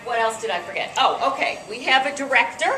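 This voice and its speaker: Loud, bright-voiced American woman addressing guests. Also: fairly high pitch, expressive, fairly quick. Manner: loud, chatty, with fillers. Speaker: event host and board director. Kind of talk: informal talk to a gathering. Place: event room with guests. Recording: echoey room from far off, faint music.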